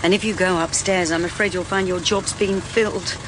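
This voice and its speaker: An actress playing a frank, persuasive English private investigator with a husky voice in a radio detective drama. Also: female voice, lowish voice, steady tone, fairly quick, noisy background, natural voice. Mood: placating.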